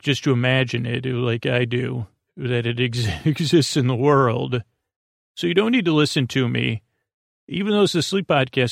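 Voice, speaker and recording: Male sleep podcast host: gravelly, creaky delivery, halting and meandering, recorded dry and close. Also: mid-range voice, no echo. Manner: meandering, halting, repetitive, trailing off. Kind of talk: rambling bedtime sleep podcast monologue.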